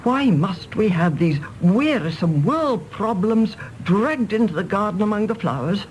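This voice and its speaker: A woman's voice: mid-range voice, animated, unhurried, a little mellow, slight background noise, natural voice, low-quality recording. A woman playing an imperious, querulous old British matriarch in a radio drama, haughty and scolding. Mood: peevish, scolding. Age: older. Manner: haughty, scolding, mock-affectionate.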